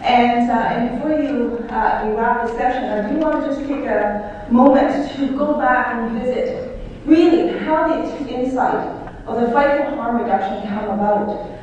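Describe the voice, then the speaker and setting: Woman politician addressing a conference with a fairly high voice and a friendly, halting delivery. She is heard from a distance in a large reverberant hall. The sound is dull and lo-fi. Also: darkish tone, slight background noise. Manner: hesitant, many uh fillers, friendly. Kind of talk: conference panel remarks.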